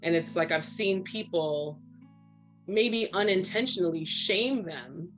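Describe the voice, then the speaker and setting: A woman in her forties speaks as a panel guest in a fairly low voice. She tells personal stories candidly and conversationally, often tagging her points with "right?". Soft plucked guitar plays faintly behind her. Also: expressive, takes it slowly. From Brooklyn and Trinidad. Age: 40s.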